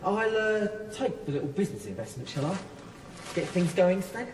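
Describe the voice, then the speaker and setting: Jumpy crook for radio play: high, swooping voice, agitated and frightened. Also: male voice, big pitch swings, moderate pace. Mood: nervy. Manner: nervy, agitated. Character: jittery, frightened small-time male crook, pleading and boastful. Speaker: actor in radio drama. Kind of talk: tense radio drama acting. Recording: distant, echoing hall with clear rumbling noise.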